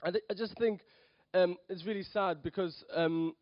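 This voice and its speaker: A man's voice: sits high, steady tone, normal speed, no room sound, muffled recording. A young man from Poland, brought up in London, giving a hesitant sermon in church with many ums and restarts. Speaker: lay preacher. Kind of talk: nervous church talk.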